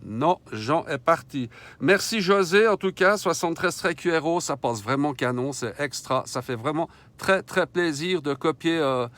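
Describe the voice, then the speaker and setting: Male radio hobbyist, fairly high clean voice, procedural but conversational on-air delivery. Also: lively intonation, a little slow, a bit bright. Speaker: amateur CB radio operator. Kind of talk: radio contact chat with call signs and procedure words. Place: in a vehicle, mobile radio station.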